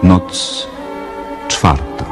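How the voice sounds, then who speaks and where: A man's voice: very slow, faint music behind. A man narrating chapter titles in a radio play in a solemn style.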